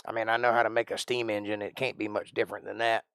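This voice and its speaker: Streamer, man, 40s; gravelly, folksy rambler; dry close mic. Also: lowish voice, level tone, fast, fairly bright tone, dry recording. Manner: rambling, folksy, thinks aloud. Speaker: live stream host. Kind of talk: casual chat answering live chat.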